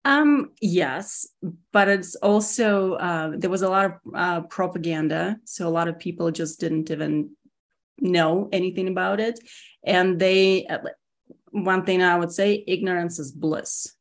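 A woman in her forties from Russia with a Russian accent, speaking casually as an interview guest, relaxed and hesitant with many pauses. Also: fairly low pitch. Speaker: guest, security executive.

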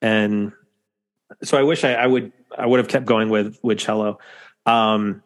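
A man's voice: on the low side, fairly even intonation, a little slow. A man hosting a podcast in casual chat, rambling with lots of fillers. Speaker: podcast host.

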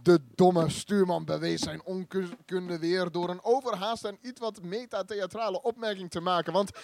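Man playing a high-voiced, pompous space captain narrator. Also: fairly even intonation, moderate pace, gravelly, a little mellow, a little hiss or hum. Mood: grandiose. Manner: grandiose, theatrical, stumbling over words. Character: pompous spaceship captain who grandly narrates his own adventure. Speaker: narrator and captain in a comic space radio play. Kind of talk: live improvised sci-fi radio play, mock-heroic narration.

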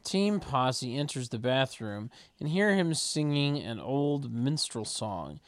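A man narrating a script's stage directions at a table read, with deadpan, mocking asides. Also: medium pitch, slow pace.

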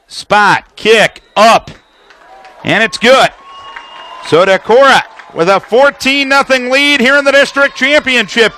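A man's voice: very high voice, sharp tone. An American man calling live football play-by-play for radio, describing formations and action in a detailed running commentary.

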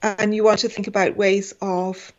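A woman's voice: mid-range voice, flat delivery, normal speed, highs cut off. A woman in her forties, a guest in an interview, speaking with many fillers and restarts. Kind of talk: conversational interview.

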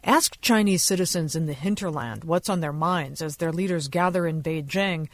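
A woman's voice: low-pitched, normal speed. A woman correspondent narrating a scripted radio news report. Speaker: foreign correspondent.

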